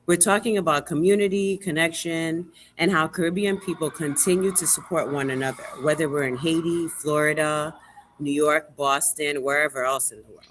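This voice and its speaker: A woman hosting a relaxed live interview, speaking emphatically and repeating words for stress. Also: rumbling low, low-quality recording. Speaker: live show host and museum board president. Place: remote video call livestream.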